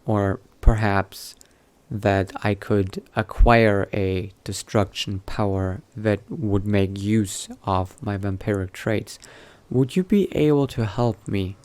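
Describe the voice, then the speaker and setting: A man playing a newly turned vampire mage in a game roleplay, musing to himself in a halting, politely understated way. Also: on the low side, fairly even intonation, a little mellow. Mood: polite, hesitant. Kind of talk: in-character video game roleplay narration.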